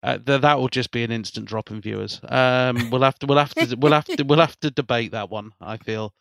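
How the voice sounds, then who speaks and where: A man's voice: medium pitch, a little fast, gravelly, fairly bright tone, no room sound, studio quality. A man hosting a podcast in casual chat, rambling and often repeating words as he restarts his sentences. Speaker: podcast host.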